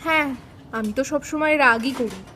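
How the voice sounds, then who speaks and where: A woman's voice: high voice, normal speed, sharp tone, a little hiss or hum. An actress playing a feisty older wife in an audio drama, nagging her husband with affectionate sarcasm. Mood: sarcastic.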